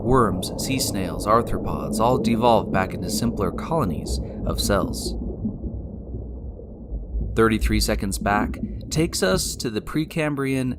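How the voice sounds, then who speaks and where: A man hosting and narrating a scripted science story in a deliberate, explanatory way, speaking directly to the listener. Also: on the low side, background noise. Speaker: podcast host and narrator. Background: music.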